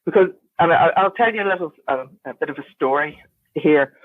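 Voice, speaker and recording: A woman's voice: deep voice, animated, fairly quick, no room sound. A woman with a Northern Irish accent, a guest telling a long, chatty story in an interview. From Northern Ireland. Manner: chatty and anecdotal, with frequent restarts. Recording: tinny phone-like line, dry.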